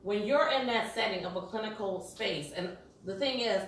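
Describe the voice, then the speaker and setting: A woman's voice: mid-range voice, moderate pace, slight background noise. A woman explaining her point in a casual group discussion, often restarting with filler phrases. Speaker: panelist. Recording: echoey room, distant mic.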